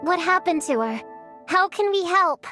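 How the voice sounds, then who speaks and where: A very high, lively little girl's voice for a game character. She is bossy and skeptical, putting on grown-up formality, with music playing behind her. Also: measured pace, exaggerated voice. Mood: earnest, self-important. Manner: pretend-formal, stumbles over big words. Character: bossy young girl, skeptical, self-important little planner. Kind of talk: animated game dialogue.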